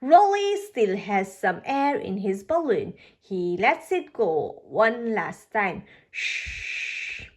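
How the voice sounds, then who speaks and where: Slow, lively woman reading a storybook. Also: medium pitch. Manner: halting reading with slips and pauses. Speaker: children's book reader on a video channel. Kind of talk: read-aloud storybook narration for toddlers.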